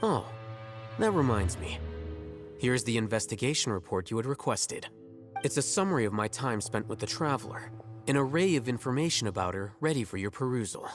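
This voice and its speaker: An actor playing a cool, detached young scholar in a video game, dry, measured and matter-of-fact. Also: male voice, mid-range voice, expressive, over music, lightly acted. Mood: coolly detached. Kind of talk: understated video game voice acting.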